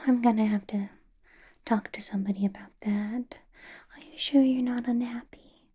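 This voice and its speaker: A woman playing a possessive, doting vampire boss in an ASMR roleplay, whispering in a breathy, teasing, intimate way. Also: fairly high pitch, fairly even intonation, dark tone. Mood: doting concern. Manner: slow, teasing, intimate personal-attention delivery.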